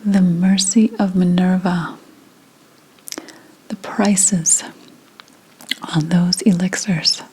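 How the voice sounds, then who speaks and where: A woman playing a secretive, indignant 17th-century witch in a breathy whispered ASMR roleplay, speaking deliberately with dramatic pauses. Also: fairly low pitch, steady tone, mellow, exaggerated voice.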